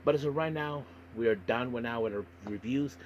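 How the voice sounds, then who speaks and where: A man hosting a casual solo wrestling podcast, giving a news and review rundown in a halting way and stumbling over words. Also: medium pitch, highs cut off, a little hiss or hum.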